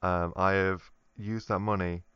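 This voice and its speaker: A man's voice: sits low, flat delivery, a little slow, a bit bright, smooth, muffled recording. A man co-hosting a comedy podcast, rambling in casual banter, laughing often and trailing off into fillers. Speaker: podcast co-host.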